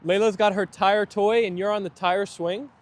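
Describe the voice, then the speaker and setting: Man, friendly stream host, slightly high voice, loose chatty delivery outside. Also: steady tone, normal speed. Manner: friendly, chatty, thanks viewers often. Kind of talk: casual live chat reading viewer comments.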